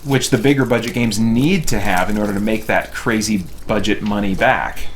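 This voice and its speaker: A man's voice: lowish voice, normal speed. Man chatting on a stream, audible background noise. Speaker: game streamer and reviewer, host of the stream. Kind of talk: casual livestream chat while playing a game.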